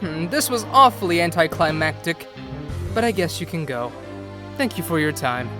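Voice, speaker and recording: A woman voicing a naive, bubbly male cheetah judge in an animated courtroom story, gushing and childlike. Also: lowish voice, normal speed, close to an everyday voice. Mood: easygoing. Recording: music behind the voice.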